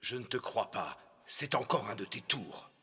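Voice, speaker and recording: A man voicing a proud, stubborn, scornful king of Egypt in an animated film, with a haughty, theatrical delivery. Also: mid-range voice, normal speed, slightly rough, heavily acted. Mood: defiant, angry.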